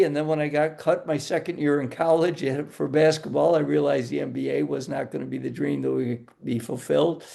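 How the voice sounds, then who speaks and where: A man's voice: a little high, gravelly, warm tone. An older man from western New York, a retired coach and speaker, telling his story conversationally as a guest.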